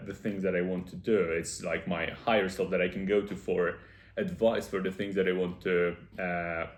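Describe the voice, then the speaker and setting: A young man speaks in a somewhat low voice, giving casual self-improvement advice straight to his viewers. He explains things step by step, earnest and unhurried, with frequent filler pauses. The room around him is noticeably echoey. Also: darkish tone. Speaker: self-improvement video host. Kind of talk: casual advice talk to camera.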